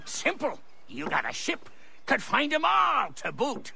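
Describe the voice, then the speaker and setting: Eccentric old seaman, creaky voice, wildly animated, video game character, noisy background. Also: extremely high pitch, a little slow, heavily acted. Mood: eager, cagey. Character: eccentric excitable old sailor hoarding letters.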